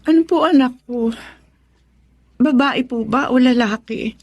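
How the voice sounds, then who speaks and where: High-voiced emotional Filipino mother. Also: measured pace, muffled recording. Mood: weak, sorrowful. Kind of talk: Tagalog radio drama acting.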